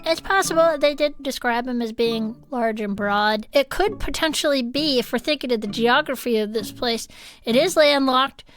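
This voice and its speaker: A woman's voice: high-pitched, moderate pace, a bit bright. A woman playing an earnest, whimsical, adventurous host of a cryptid show, musing in quirky casual banter. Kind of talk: improvised comedic banter.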